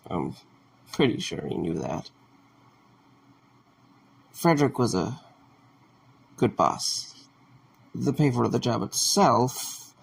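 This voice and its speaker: A man plays a grieving, awkward young former guard in an audio drama. His voice is gravelly and moves slowly and haltingly, yet its pitch rises and falls a good deal. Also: slight background noise, exaggerated voice. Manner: halting, hesitant.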